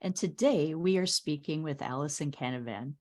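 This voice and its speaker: A woman hosting a podcast, introducing her guest warmly and enthusiastically. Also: low-pitched, normal speed. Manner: warm, admiring, enthusiastic. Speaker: podcast host. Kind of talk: interview host.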